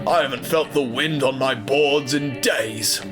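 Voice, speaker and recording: A man's voice: mid-range voice, measured pace, lightly acted. A man voicing aggrieved railway trucks in an audio drama, whining and melodramatic as they complain bitterly, with music behind.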